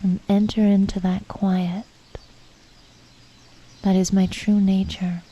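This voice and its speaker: A woman guides a meditation in a deeply mellow, muted voice. She moves slowly and calmly, with reflective pauses and soft, breathy endings to her phrases. The recording is dull and slightly muffled. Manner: calm, unhurried, reflective, with pauses.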